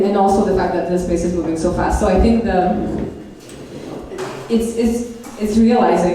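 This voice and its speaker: A woman talking on a panel in a loose, rambling way, stopping and restarting her sentences. Her voice sits low and sounds soft and mellow. It is heard from off-mic in a very roomy hall with a steady hum of ambience. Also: far from the mic. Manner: rambling, many restarts and "sort of". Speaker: investor on a panel. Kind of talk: casual panel talk. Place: conference panel stage.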